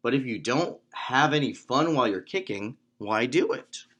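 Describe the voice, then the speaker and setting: A man voicing a playful, excitable young boy student in a children's storybook read-aloud. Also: medium pitch, normal speed. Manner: eager, playful.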